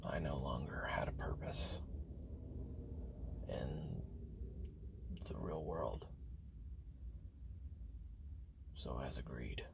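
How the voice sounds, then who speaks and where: A man playing a weary, grim assassin who records his memoirs as a slow, halting confessional monologue in a gravelly, breathy half-whisper. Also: very deep voice, exaggerated voice. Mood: weary, resigned. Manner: slow, halting, hushed, with long pauses.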